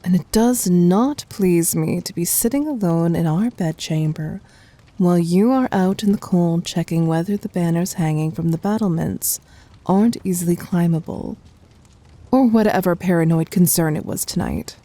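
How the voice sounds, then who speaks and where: A woman voicing a commanding, possessive yet tender demon queen in an ASMR roleplay, soft, husky and often near a whisper, with imperious, teasing delivery. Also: on the low side, moderate pace. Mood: stern, displeased.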